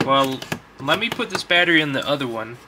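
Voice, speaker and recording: A man's voice: medium pitch, animated, a little fast, noisy background. A young man talking casually and off the cuff, rambling informally as he shows items to viewers in a basement. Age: young adult. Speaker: host of a vintage electronics collecting channel. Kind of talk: casual unscripted show-and-tell vlog.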